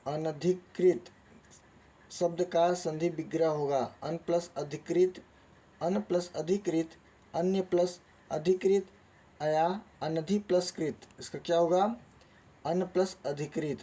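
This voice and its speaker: A man's voice: a little high, fairly even intonation, slow, dark tone, low-quality recording. A man teaching a lesson, reading out quiz questions and options in a didactic way and then giving the answers. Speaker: Hindi grammar teacher.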